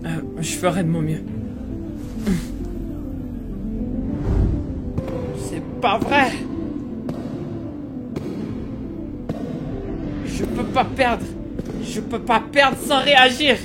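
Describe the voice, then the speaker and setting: A performer plays a teenage boy hero from an anime fan dub. He sounds earnest and hesitant, anxious yet determined, as if thinking aloud. Loud music runs under the voice. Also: medium pitch, a little slow, lightly acted. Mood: anxious, resolute. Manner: earnest, hesitant, inward monologue.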